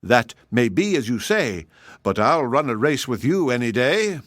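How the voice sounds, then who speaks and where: A man voicing a shrewd, proud little male hedgehog who speaks calmly and slyly. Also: mid-range voice, measured pace, dry recording. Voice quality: calm, sly, plain-spoken.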